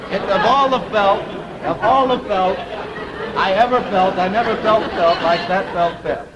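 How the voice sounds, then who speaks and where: A man's voice: high voice, moderate pace, muffled recording, a crowd in the background, background noise. A man hosting a 1950s television game show with chatty banter, on a vintage broadcast recording. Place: television studio dressed as a living room.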